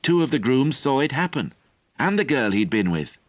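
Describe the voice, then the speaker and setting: A man reading an audiobook, voicing a proud, guarded noblewoman who speaks in a measured, indifferent way. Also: mid-range voice, very animated, no room sound, pitched above the performer's own voice. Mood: cool, guarded. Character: proud noblewoman, a prince's mother, cool and guarded.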